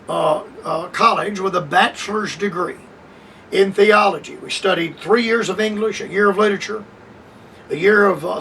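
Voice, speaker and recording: An older man giving a radio Bible lesson, measured and repetitive, restarting phrases with frequent hesitations. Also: high voice, vocal fry, fairly bright tone, far from the mic, a little hiss or hum, low-quality recording. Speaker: radio preacher.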